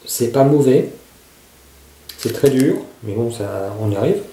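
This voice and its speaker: A man's voice: medium pitch, expressive, unhurried, gravelly, dark tone, a little hiss or hum. A man casually reviewing survival gear to camera. He often hesitates and searches for his words. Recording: echoey room.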